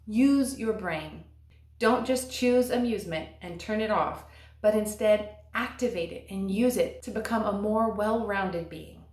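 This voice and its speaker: A woman's voice: mid-range voice, darkish tone. Earnest woman in an echoey room. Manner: earnest and encouraging, slows to stress key words. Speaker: vlogger and stay-at-home mom. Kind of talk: scripted advice talk to camera.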